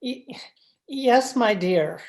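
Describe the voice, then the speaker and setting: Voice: mid-range voice, expressive, drawn-out words. An actor playing a meek, henpecked husband in an old-time radio drama, hesitant, timid and submissive. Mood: nervous.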